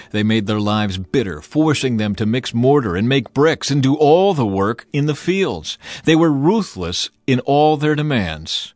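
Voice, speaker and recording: A man reading scripted Bible narration in a measured, expressive storytelling manner. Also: medium pitch. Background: background music.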